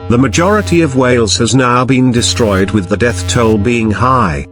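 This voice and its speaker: A man's voice: medium pitch, close to an everyday voice. A man playing a grave, official emergency announcer in a scripted disaster film. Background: music.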